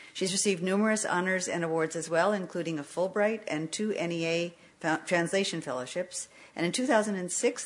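A woman reading a scripted, formal introduction of guest speakers to an audience. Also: lowish voice. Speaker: event presenter introducing speakers.

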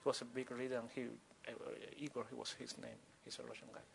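A man from Cuba with a Cuban accent and Spanish as his first language, speaking spontaneously and haltingly as a guest author at a live talk. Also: mid-range voice, level tone, creaky, a little hiss or hum. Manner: halting, with repeated words and broken phrases.

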